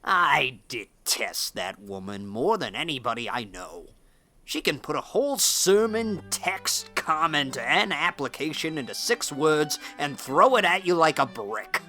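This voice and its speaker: A man's voice: a little high, very animated, measured pace, pushed and bright, soft background music, exaggerated voice. Man playing a cranky, swooping-voiced old farmer. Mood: irritated grumbling. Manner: grumbling, blunt, folksy. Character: cranky, outspoken, grumbling older male farmer neighbour. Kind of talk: audiobook character acting.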